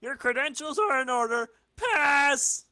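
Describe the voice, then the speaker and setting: Man putting on a high, officious guard. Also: expressive, a little slow, sharp tone, dry recording, clean studio sound, full character voice. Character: officious, stern male gatekeeper guard. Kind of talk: dramatic comic-book reading.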